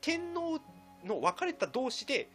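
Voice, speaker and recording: A higher-voiced man gives a quick, informal history explanation. His intonation swings dramatically as he slips into colloquial quoted lines. Light music plays underneath. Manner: animated explainer, acting out quotes in colloquial speech. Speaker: history enthusiast and panelist. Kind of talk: casual explanation of history on a discussion show.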